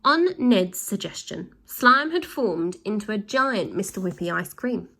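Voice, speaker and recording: A woman reading a children's storybook aloud, with lively voices and sound effects. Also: medium pitch, a little slow, slight background noise. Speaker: storybook reader.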